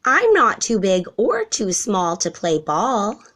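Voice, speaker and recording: A woman's voice: mid-range voice, huge pitch swings, takes it slowly, fairly bright tone, full character voice. A woman voicing a small young male elephant in a storybook, earnest and childlike, hopeful but lonely. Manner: earnest, childlike pleading and wondering.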